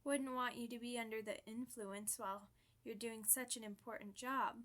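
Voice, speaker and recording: A woman's voice: on the high side, steady tone, normal speed, fairly bright tone, natural voice. A woman voicing a chatty, playful, theatrical old vampire in an ASMR roleplay, full of mock-grand asides. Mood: chatty, gracious.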